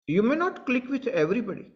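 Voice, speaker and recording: Man, Indian English accent, spiritual teacher, high voice, earnest, repetitive, muffled lo-fi sound. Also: sing-song, quick pace. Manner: earnest, repetitive phrasing, direct address. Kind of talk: satsang talk to online followers.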